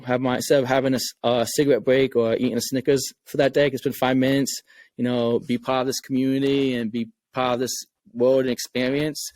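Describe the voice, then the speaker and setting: A man's voice: mid-range voice, darkish tone, no echo. A man with a Rhode Island accent, a game creator talking casually and earnestly on a podcast, often repeating words as he thinks. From Rhode Island, USA.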